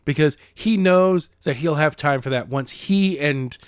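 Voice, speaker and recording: A man's voice: fairly high pitch, a little slow, clean tone, no echo, studio quality. A man co-hosting a podcast, chatting casually about a book chapter and playfully acting out the characters. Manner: playful, acts out characters in silly voices. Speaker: podcast co-host, narrator.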